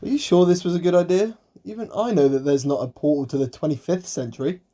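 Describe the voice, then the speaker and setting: Dim but cocksure female sidekick played by an actress, low mellow voice, comic radio play delivery. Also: fast talker, close to an everyday voice. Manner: confidently wrong, comic. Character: dim, gullible, cocksure sidekick to an amateur sleuth. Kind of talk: amateur radio play acting.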